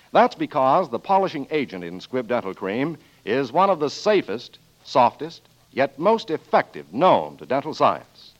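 A man doing polished, formal scripted announcing and sponsor reads in 1940s radio style. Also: medium pitch. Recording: dull 1940s radio broadcast recording. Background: music.

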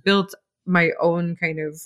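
A woman's voice: fairly low pitch, fairly even intonation, takes it slowly, a crowd in the background. A woman hosting a podcast, affirming her guest and sharing her own experience in an easy, open way. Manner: affirming, breathy exclamations, laughs easily. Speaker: podcast host. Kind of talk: warm conversational interview.

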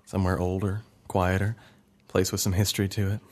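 A man's voice: sits low, level tone, a little fast, darkish tone. An actor playing a young adult man who narrates memories of his childhood in a wistful, conversational way. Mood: reflective. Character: grown man recalling his childhood, thoughtful. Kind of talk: reflective dramatic narration.

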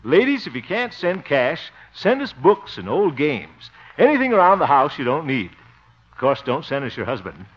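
An actor playing a jovial, blustery middle-aged small-town radio host in a theatrical 1950s radio comedy style. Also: male voice, on the high side, huge pitch swings, moderate pace, a bit bright, heavily acted. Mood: cheery, pitching. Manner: theatrical, chuckling, exclaiming. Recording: muffled old 1950 radio recording.

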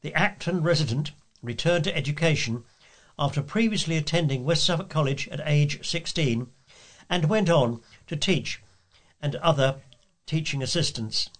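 A man's voice: fairly high pitch, moderate pace. A man reading scripted local news items aloud as a volunteer news reader.

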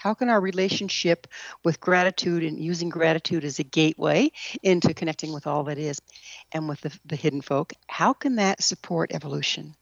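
A woman's voice: low voice, brisk, gravelly, dry recording, lo-fi sound. A woman hosting a radio interview, asking questions in a curious, engaged conversational way. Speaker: radio show host, interviewer.

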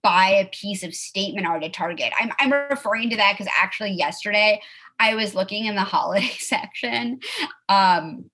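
A woman in her thirties hosts a relaxed chat, filling her talk with "like" and quick asides. Her voice is bright and forward. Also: mid-range voice. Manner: chatty, peppered with "like", quick asides and laughs. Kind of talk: casual chat.

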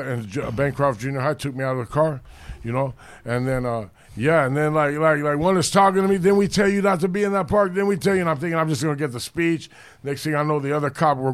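A middle-aged man from Los Angeles with a deep, loud voice, telling blunt street stories full of swearing in a casual chat. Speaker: podcast co-host.

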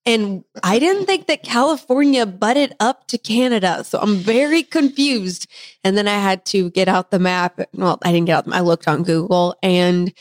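A woman, co-hosting a casual podcast chat; she is talkative, laughs easily, and her voice is still slightly hoarse from laryngitis. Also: mid-range voice, moderate pace, no echo. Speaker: podcast co-host.

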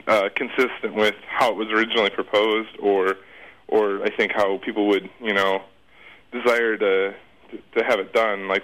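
A man's voice: lowish voice, sharp tone, low-quality recording. A man speaking as an expert interview guest, explaining things informally in a conversational, circling way. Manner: informal, circling explanations with repeated "you know".

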